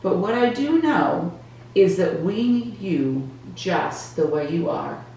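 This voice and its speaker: A woman's voice: on the low side, expressive, slow, darkish tone. A woman voicing a loving, earnest daylight spirit, a sister figure in a children's storybook, in an echoey recording. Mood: earnest, pleading. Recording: roomy echo, distant mic, faint noise.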